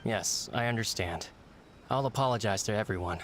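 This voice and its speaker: A man's voice: on the low side, moderate pace, heavy background noise, natural voice. A man playing an earnest, apologetic young publishing employee with a polite, explanatory manner in an anime-style video game. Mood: contrite.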